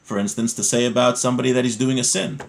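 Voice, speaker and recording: A man's voice: medium pitch, fairly even intonation, fast, vocal fry. A man giving an informal religious lesson, reading from a text and explaining it in plain words, often asking questions and answering them himself.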